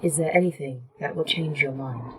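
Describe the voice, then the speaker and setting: Woman acting low-voiced, composed facility A.I. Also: normal speed, background noise, natural voice. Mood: restrained defiance. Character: terse, composed facility A.I., quietly defiant. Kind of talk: sci-fi horror audio drama acting.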